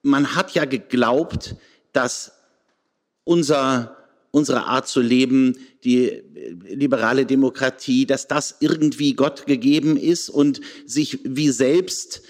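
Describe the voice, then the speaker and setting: A man's medium-pitched voice rings with long hall reverb. He speaks as a panel guest, reflective and hesitant, often searching for the next phrase. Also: slow.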